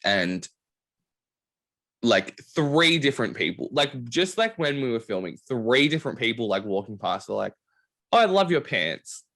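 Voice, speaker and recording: A man's voice: medium pitch, normal speed, gravelly. A young man hosting a podcast, chatting casually and telling stories full of "like" fillers. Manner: animated storytelling, many "like" fillers. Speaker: podcast host. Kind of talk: casual chat.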